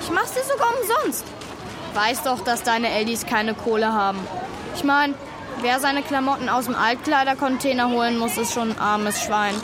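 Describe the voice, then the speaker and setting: Swooping high-pitched schoolboy bully played by a woman. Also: expressive, moderate pace, music in the background, lightly acted. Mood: taunting, sneering. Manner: taunting, swaggering. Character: cocky, mean schoolboy bully. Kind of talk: children's radio play dialogue. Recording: loud outdoor crowd and traffic noise.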